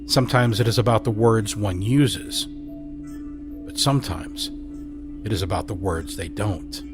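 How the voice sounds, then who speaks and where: A man narrating an audio drama with a slow, soft, measured reading. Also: on the low side. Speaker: narrator and host. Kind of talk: scripted narration. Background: music score.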